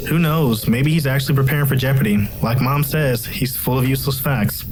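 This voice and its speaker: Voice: medium pitch, brisk, over music, close to an everyday voice. An actor playing a flippant, teasing little brother in an audio drama, slangy and smart-alecky. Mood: casual.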